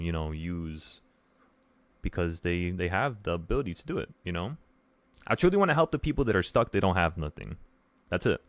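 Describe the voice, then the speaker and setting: A young man with a low voice hosts a casual livestream. He speaks earnestly and loosely to his viewers, wandering through his thoughts and repeating phrases to stress them. The sound is dry, with hardly any room in it. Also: no room sound. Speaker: livestream host, options trading teacher.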